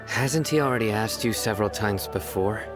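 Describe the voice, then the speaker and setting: Actor as low-voiced, stern male enforcer. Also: normal speed, close to an everyday voice. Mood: guarded, serious. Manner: serious, terse, controlled. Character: stern, guarded young male officer of justice, blunt and vigilant. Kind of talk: scripted video game cutscene acting. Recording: faint music behind the voice.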